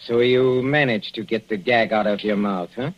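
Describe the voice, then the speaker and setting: An actor playing a sadistic middle-aged professor with a Central European accent, mocking and chuckling with purring menace in a 1940s radio play. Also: male voice, on the low side, normal speed, lightly acted. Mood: gloating. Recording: lo-fi vintage radio recording, faint hiss.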